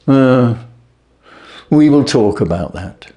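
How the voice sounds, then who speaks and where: A man reading aloud and voicing a stern, clever detective who calmly accuses a thief. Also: medium pitch, pitched above the performer's own voice. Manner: stern, cutting, measured. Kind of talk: reading a classic story aloud.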